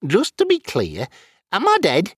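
Tipsy fantasy wizard played by a man: husky, very animated pitch, cheeky and flippant, audiobook style. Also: measured pace, dry recording, clean studio sound, exaggerated voice. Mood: surprised, flippant. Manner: cheeky, wry, colloquial. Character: tipsy, flippant male wizard, fond of ale.

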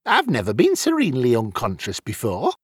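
Tipsy fantasy wizard played by a man: husky, very animated pitch, cheeky and flippant, audiobook style. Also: fairly quick, no echo, studio quality. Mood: wry, puzzled. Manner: cheeky, wry, colloquial. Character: tipsy, flippant male wizard, fond of ale.

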